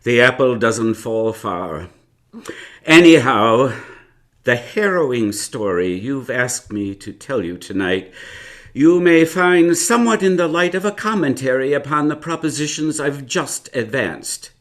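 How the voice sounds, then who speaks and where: A man's voice: medium pitch, expressive, a little slow, a bit bright. An actor playing a wry, reflective elderly uncle recounting his youth in a radio drama, in wordy old-fashioned phrases. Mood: wry, teasing. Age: older. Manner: hesitant, chuckling, old-fashioned wordy storytelling. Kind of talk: live radio drama acting.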